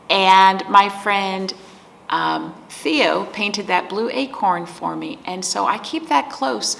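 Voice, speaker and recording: A woman librarian hosting a virtual storytime, speaking gently to children, asking them simple questions and reading a picture book aloud. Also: medium pitch, creaky.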